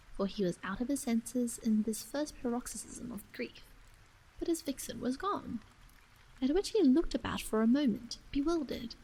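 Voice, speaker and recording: Breathy, soft-spoken woman reading a bedtime story. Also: a little high, rough recording. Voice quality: soft, breathy, often slipping into whisper. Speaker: storyteller and streamer reading aloud. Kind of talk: bedtime story ASMR, live reading of an old novel.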